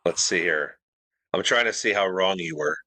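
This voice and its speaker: A man's voice: deep voice, normal speed, sharp tone, no echo. A man co-hosting a podcast in casual, bantering conversation. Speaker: podcast co-host.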